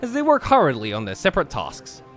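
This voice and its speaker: A man's voice: fairly high pitch, huge pitch swings, quick pace, a bit bright, faint music behind. A man hosting a casual game stream, reading aloud and bantering with his co-players in an exasperated, pleading way. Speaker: host reading a visual novel aloud on a group stream. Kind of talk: casual gaming banter with read-aloud narration. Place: group voice chat during a game stream.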